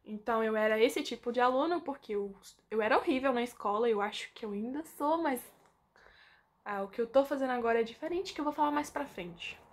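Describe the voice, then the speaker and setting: A young woman with a Brazilian Portuguese accent talks to her camera in a loose, chatty way, full of fillers and asides. Her voice sits a little high for a woman. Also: fairly quick. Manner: chatty, informal, lots of fillers, reads from a script. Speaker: vlogger. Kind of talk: casual chat to camera.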